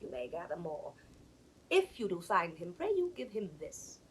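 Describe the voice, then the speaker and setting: A woman's voice: on the low side, wildly swooping pitch, normal speed. A woman playing a cold, scheming noblewoman, imperious and ruthless, in classical Shakespearean stage style. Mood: cold, commanding. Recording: faintly noisy 1960s studio drama recording.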